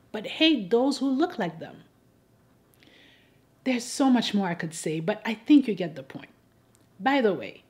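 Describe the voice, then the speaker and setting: A woman from Haiti delivering scripted persuasive commentary to an audience, in a pointed, wry and deliberate rhetorical way. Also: a little high, a little mellow. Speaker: host of an advocacy video.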